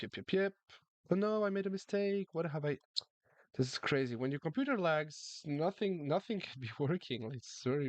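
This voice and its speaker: A man's voice: medium pitch, no echo, dull audio. A man chatting casually on a live chess stream, reading the chat and often repeating his words. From France.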